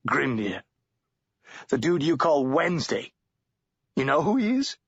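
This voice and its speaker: A man voicing a frightened, desperate male drifter in a storybook, pleading and agitated in slangy speech with a husky voice. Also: a little high, big pitch swings, measured pace, dry recording, muffled recording, voice pushed up, exaggerated voice.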